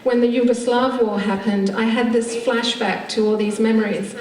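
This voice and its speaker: A woman's voice: on the high side, fairly even intonation, normal speed, a little mellow. A woman with an English accent giving a conference panel presentation in articulate, academic phrasing with some hesitation. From Turkish Cypriot immigrant. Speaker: theatre maker speaking on a conference panel. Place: conference room, recorded from a distance. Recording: echoey room, distant mic, background noise.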